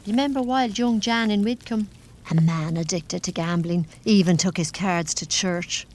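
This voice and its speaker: A woman's voice: on the low side, moderate pace, a little mellow, natural voice. A woman playing a superstitious, pious country servant in a gothic audio drama, speaking in an ominous, scolding, emphatic way. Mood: scandalised.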